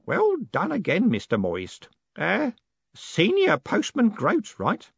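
A man's voice: sits high, wildly swooping pitch, slow, vocal fry, fairly bright tone, husky, no echo, muffled recording, pitched above the performer's own voice, exaggerated voice. A man voicing a little old postman in an audiobook, anxious, muttering, hesitant and fussily deferential. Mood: nervous.

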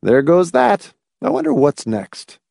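A man's voice: medium pitch, sing-song, slow pace, a little mellow, husky, dry recording, studio quality, full character voice. A man reading a story, voicing a wry character who talks with forced heartiness and mock carelessness. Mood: wry hearty.

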